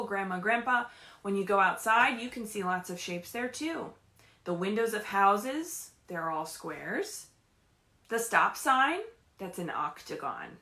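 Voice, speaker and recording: A woman reading and chatting to young children as a story time leader, animated and often prompting them with questions. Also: fairly high pitch, echoey room. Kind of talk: read-aloud picture book storytelling for young children. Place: indoors at home.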